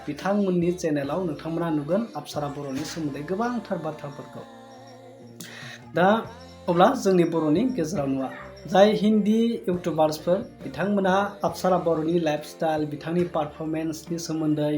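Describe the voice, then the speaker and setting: A young man talking slowly and earnestly to camera in a small plain room, recorded close on a phone for his vlog. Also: on the high side, darkish tone. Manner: slow, earnest storytelling to viewers. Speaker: vlogger. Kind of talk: casual talking-head vlog.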